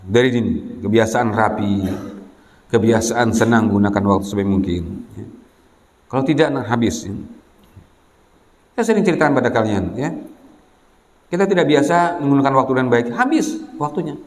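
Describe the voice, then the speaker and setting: A man's voice: medium pitch, expressive, moderate pace, pauses often. A man giving a religious exhortation to students, admonishing and repeating his points, often checking in with listeners. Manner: repetitive, admonishing, frequent "ya" check-ins to listeners. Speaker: Islamic boarding school teacher and preacher. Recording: long hall reverb.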